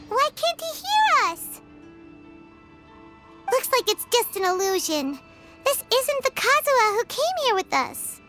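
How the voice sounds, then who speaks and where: Very high, squeaky little fairy girl. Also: a bit bright, heavily acted. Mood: surprised, puzzled. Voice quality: squeaky, childlike. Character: tiny chirpy girl fairy companion, curious and excitable. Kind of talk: scripted game voice acting.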